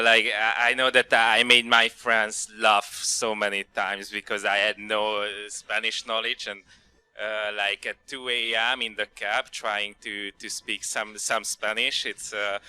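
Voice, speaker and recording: Young male student panelist, mid-pitched, crisp and forceful, casual testimonial delivery. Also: no room sound. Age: young adult.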